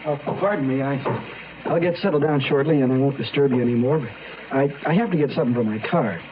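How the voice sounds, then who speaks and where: An actor playing a menacing young killer in a radio drama, falsely polite, halting and sinister. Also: male voice, medium pitch, lightly acted. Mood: nervous, polite. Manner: halting, mock-courteous, sinister. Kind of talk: old-time radio drama acting. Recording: tinny radio recording with background noise.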